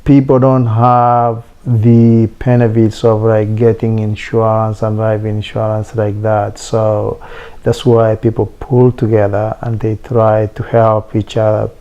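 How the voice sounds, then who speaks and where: Mellow-voiced man. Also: fairly low pitch, little pitch movement, takes it slowly, clear, resonant tone, dull audio. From Kenya. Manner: earnest, explanatory, with hesitant fillers. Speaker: interviewee. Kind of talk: explanatory interview talk.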